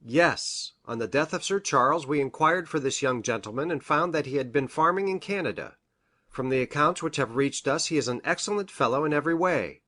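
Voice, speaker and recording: A woman acts out a grave gentleman doctor from a detective storybook. He speaks formally and precisely, in measured sentences, with a lively rise and fall in pitch. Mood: formal, earnest. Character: grave, hesitant male country doctor, troubled witness. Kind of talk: audiobook character reading.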